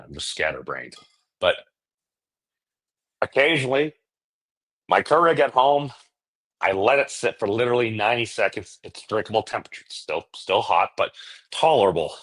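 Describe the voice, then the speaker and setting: Male livestream host, lowish voice. Casual, digressive, jokey and happy to poke fun at himself. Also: fairly bright tone. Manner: self-deprecating, jokey, digressive. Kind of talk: casual rambling livestream chat.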